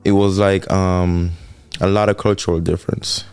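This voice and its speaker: A man's voice: low voice, little pitch movement, moderate pace, darkish tone, clean tone, no room sound, faint music behind. A man from Jamaica with a Jamaican accent, co-hosting a couple's podcast and reflecting earnestly on relationships. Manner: earnest, reflective, repeats himself for emphasis. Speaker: podcast co-host. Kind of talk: casual couple podcast talk.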